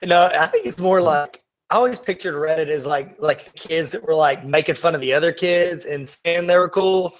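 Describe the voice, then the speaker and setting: Higher-voiced man in jokey casual chat. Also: normal speed, hardly any pauses. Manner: laughs often while talking, self-deprecating. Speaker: podcast co-host.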